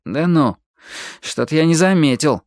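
Woman as sulky jealous boy, teenager, grumbling, sarcastic, varied pitch, audiobook character, dry recording. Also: expressive, unhurried, a little mellow, dry recording.

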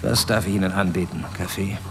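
An actor playing a menacing secret police chief in a radio drama, interrogating with suave, deliberate politeness. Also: male voice, sits low, fairly even intonation. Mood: smoothly menacing.

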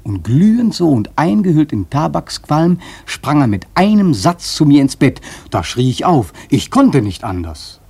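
An actor playing a wary, earnest seafarer telling his tale in a German radio play, husky and measured. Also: a little high, sing-song, darkish tone, exaggerated voice. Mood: tense storytelling. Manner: measured, suspenseful storytelling.

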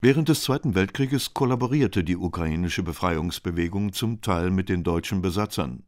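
Low-voiced male documentary narrator. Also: normal speed, darkish tone, no room sound, studio quality.

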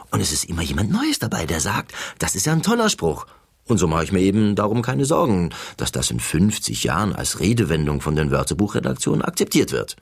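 A deep, husky, wordy interviewer. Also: male voice, full character voice. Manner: wordy, pedantic, earnest. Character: chatty eccentric man coining silly sayings as interviewer. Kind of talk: scripted satirical dialogue.

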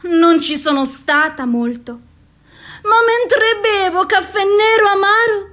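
Broken despairing woman, very high voice, slow anguished delivery, bitter, radio theatre monologue. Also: sharp tone, full character voice. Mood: wounded.

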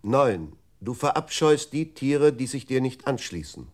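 A computer's reciting unit in a 1960s radio drama. The voice is medium in pitch, formal and pointed, setting out each phrase with declamatory emphasis. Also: male voice, very animated, a little slow. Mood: logical, emphatic. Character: male computer memory unit, pointedly reciting processed verse.

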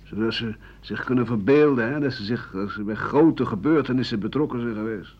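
An actor playing a bitter, cynical old French doctor and writer in a radio play, rambling and scornful with muttered asides. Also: male voice, medium pitch, brisk, lightly acted. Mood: disillusioned. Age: older. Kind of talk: radio play monologue in interview form. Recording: muffled, noisy 1980 radio drama recording.

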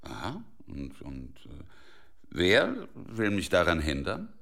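A man's voice: low voice, huge pitch swings, takes it slowly, creaky, background noise, full character voice. A man in a German radio play acting a menacing leader of ruthless intruders, sarcastic and scornful. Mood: mocking.

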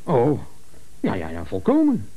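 An actor in a radio comedy playing a nervous, put-upon office employee who grumbles and frets. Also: male voice, mid-range voice, wildly swooping pitch, measured pace, breathy edge, phone-quality sound, heavily acted. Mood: fretful, dry. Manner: fretful, grumbling.

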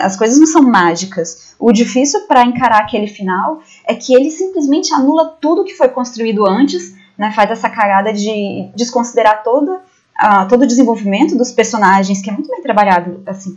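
A woman's voice: fairly high pitch, brisk, darkish tone. A Brazilian woman taking part in a casual film discussion on a podcast panel. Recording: slightly dull, lo-fi audio.

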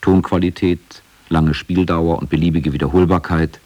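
A man's voice: low-pitched, fairly even intonation, moderate pace, darkish tone. A man reading scripted narration for a radio documentary.

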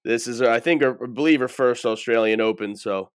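A man's voice: mid-range voice, fairly quick, clean tone, no echo. Matter-of-fact man with a dry sound. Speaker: panelist giving picks. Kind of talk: casual panel chat.

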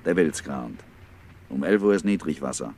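An actor playing a calculating, obedient ship's officer in a 1950s radio drama, speaking in measured, insinuating hints. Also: male voice, very deep voice, animated, darkish tone. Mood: coolly scheming. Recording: noisy 1950s radio recording.

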